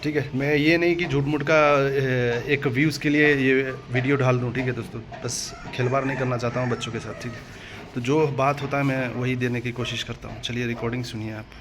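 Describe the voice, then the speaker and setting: Indian male online host, casual and repetitive, limited pitch movement, faint noise behind. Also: steady tone, a little fast. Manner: repetitive verbal tags seeking agreement. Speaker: exam-update YouTube host. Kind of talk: casual direct address to viewers.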